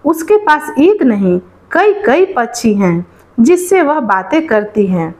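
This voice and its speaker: A woman's voice: on the high side, sing-song, slow pace, a little mellow. A woman voicing suspicious village women who confide gossip in a storybook tale. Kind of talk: storybook dialogue.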